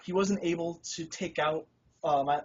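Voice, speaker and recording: A man's voice: a little high, fairly even intonation, moderate pace, a little hiss or hum. A man being interviewed about his win, modest and hesitant, with frequent fillers. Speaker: tournament winner being interviewed. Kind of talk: casual interview. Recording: lo-fi recording.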